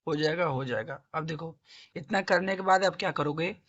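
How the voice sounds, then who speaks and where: Hoarse guy tutoring math, kinda high voice, dull lo-fi sound. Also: normal speed, fairly bright tone. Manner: coaxing, asks and answers own questions, clears throat. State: hoarse, sore throat. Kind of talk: classroom-style math lesson.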